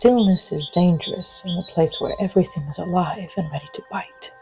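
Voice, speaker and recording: An actress playing a wild, wary young woman, speaking a reflective, hushed and halting monologue in an intimate urban fantasy audio drama. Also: on the low side, over music, lightly acted. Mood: guarded.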